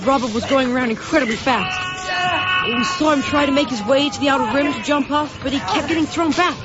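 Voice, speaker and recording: A man's voice: extremely high pitch, a little fast, muffled recording, heavy background noise. An actor playing an anxious, loyal boy who narrates his adventure in a radio drama. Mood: breathless storytelling. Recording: band-limited radio broadcast with music underneath. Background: dramatic music.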